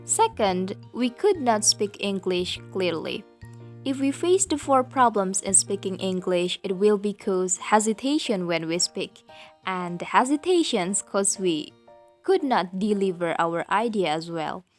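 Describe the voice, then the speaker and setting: A woman's voice: medium pitch, expressive, unhurried. Young indonesian student lady doing a rehearsed english presentation, music behind. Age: young adult. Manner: careful, rehearsed, learner's English. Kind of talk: prepared presentation.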